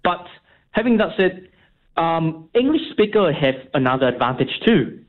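A young man whose first language is Vietnamese, a radio interview guest speaking in a halting way with many fillers and restarts. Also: fairly high pitch, big pitch swings. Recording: tinny phone line.

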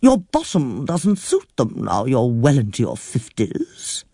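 A woman's voice: rumbling low, huge pitch swings, a little slow, mellow, husky, dry recording, clean studio sound, exaggerated voice. A man voicing a fussy, dramatic elderly aunt who complains bluntly and swears, in a storybook reading. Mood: fretful, tart. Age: older.

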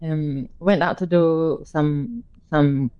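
A woman's voice: low voice, takes it slowly, smooth, no echo, dull audio. A middle-aged woman from Denmark, a former road cyclist, answering radio interview questions hesitantly with many fillers and restarts.

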